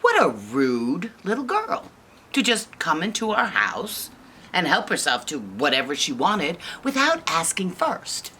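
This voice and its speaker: A woman's voice: on the low side, huge pitch swings, measured pace, pushed and bright, a little hiss or hum, full character voice. A woman voicing a disapproving mother bear in a fairy tale, scolding in an emphatic, reproving way.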